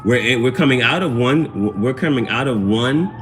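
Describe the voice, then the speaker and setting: A man's voice: medium pitch, animated, moderate pace, fairly bright tone, faint music behind, rough recording. A man hosting a casual live tarot reading, chatting to viewers in slangy speech and often repeating his phrases. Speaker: tarot reader, livestream host.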